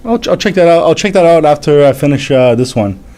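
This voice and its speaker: A man in his thirties whose first language is French, hosting a casual live manga reading and chat, halting and stumbling as he reads aloud. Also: a little high, animated, warm tone. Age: 30s. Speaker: livestream host, manga reviewer.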